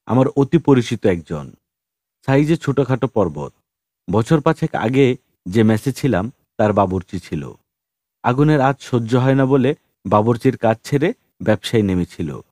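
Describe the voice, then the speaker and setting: A man narrating a story as an audiobook reader, in an expressive scripted style. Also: medium pitch, no room sound, studio quality.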